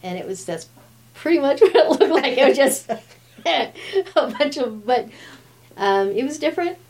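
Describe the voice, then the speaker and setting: A woman's voice: high-pitched, animated, a little slow, a little mellow. A woman in her fifties, a guest who grew up in Brazil with Portuguese as her first language, chatting casually and laughing often. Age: 50s. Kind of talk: casual chat.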